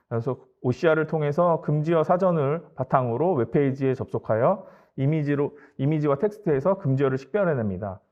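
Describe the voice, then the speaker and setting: A man's voice: on the high side, a little mellow, dull audio. A man giving a formal, polite and matter-of-fact business tech presentation as a company presenter, explaining slides step by step.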